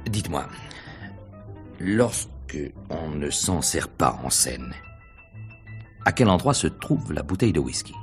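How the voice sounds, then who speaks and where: A probing radio-mystery detective with a very low voice. He swings through animated intonation as he slides insinuating questions in, wry and knowing, with music behind him. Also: slow, long pauses, heavily acted. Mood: puzzled, pressing. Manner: insinuating questions, hesitant repetitions, knowing chuckles. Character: probing, wry male police investigator, middle-aged. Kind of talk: 1950s French radio mystery acting.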